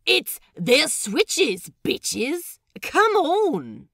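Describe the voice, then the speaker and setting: An exasperated young male pirate with a quick wit, acted out by a woman narrator. The voice is sassy and flustered, pitched up and swinging widely, in a dry storybook recording. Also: wildly swooping pitch, a bit bright, no echo, exaggerated voice.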